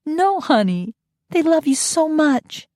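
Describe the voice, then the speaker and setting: A woman voicing a kind young woman gently comforting a child. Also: high voice, sing-song, takes it slowly, no room sound, clean studio sound, voice pushed up, heavily acted. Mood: soothing. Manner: soothing, soft.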